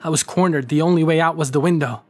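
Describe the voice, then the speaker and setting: A man narrating a first-person horror story with measured, slow phrasing, who also hosts the channel. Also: fairly high pitch, soft background music. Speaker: audio drama creator and narrator.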